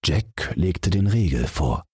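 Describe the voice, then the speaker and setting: A male audiobook narrator with a low, strongly breathy and husky voice. He reads a fairy tale for bedtime at an unhurried, measured pace, expressively. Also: lively intonation, warm tone, no room sound, clean studio sound.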